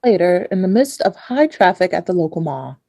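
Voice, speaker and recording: A woman's voice: fairly low pitch, big pitch swings, a little fast, warm tone. A woman playing a wry, mock-dramatic narrator, reading scripted narration for a comic radio play. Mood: mock-serious. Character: wry, deadpan female storyteller narrator.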